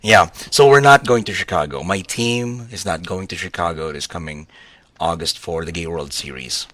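Hoarse low-voiced man co-hosting a podcast. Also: fairly bright tone. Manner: laughs often, halting with fillers. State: hoarse, losing his voice. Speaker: podcast co-host. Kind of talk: casual chat.

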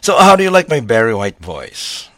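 A man co-hosting a casual podcast chat, hoarse from losing his voice, talking haltingly and laughing often. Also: medium pitch, very animated, bright, forceful tone. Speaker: podcast co-host.

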